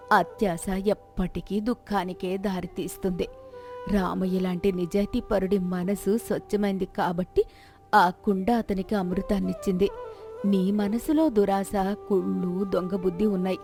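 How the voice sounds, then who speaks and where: Woman voicing stern village aunt, moralising, measured delivery, storybook tale, music behind. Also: medium pitch, fairly even intonation, creaky, dark tone. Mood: admonishing.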